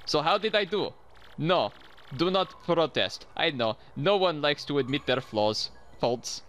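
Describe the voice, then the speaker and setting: A man voicing a self-important male bomb creature with a Russian accent, who analyzes mustaches in pompous, drawn-out pronouncements. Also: fairly high pitch, expressive, fairly bright tone, a little hiss or hum.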